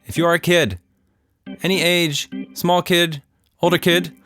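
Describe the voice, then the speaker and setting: A man's voice: a little high, flat delivery, a bit bright, dry recording, faint music behind. Playful man telling stories to children, dry sound. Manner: playful mock-serious, unhurried. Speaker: podcast host and storyteller. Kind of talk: children's storytelling, casual and scripted.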